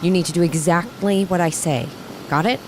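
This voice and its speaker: A woman's voice: lowish voice, moderate pace, a little hiss or hum, natural voice. An actress as a firm, skeptical guardian woman. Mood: firm, guarded. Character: skeptical, self-protective woman guarding her young charge. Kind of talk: radio drama acting.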